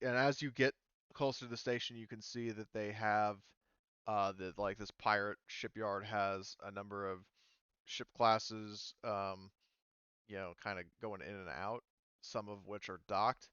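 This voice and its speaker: Low, smooth-voiced game master. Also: male voice, steady tone, measured pace, fairly bright tone, dry recording, dull audio. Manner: rambling, many fillers and restarts. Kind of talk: narrating a tabletop game over voice chat.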